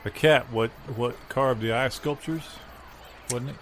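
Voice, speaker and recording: A man playing a matter-of-fact circus hand in improvised comedy, speaking in a dry, halting, deadpan way about absurd acts. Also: medium pitch, slight background noise, natural voice.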